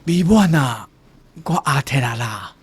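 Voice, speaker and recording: An actor playing a weary, gentle middle-aged soldier back from war in a radio drama, hoarse and subdued, with a Taiwanese accent. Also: male voice, mid-range voice, animated, unhurried, a little hiss or hum, natural voice.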